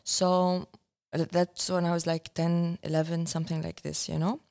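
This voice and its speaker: Lowish-voiced woman. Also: level tone, normal speed, creaky, darkish tone. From Syria. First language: Arabic. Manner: searching for words, many restarts. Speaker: guest filmmaker. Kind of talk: casual conversation.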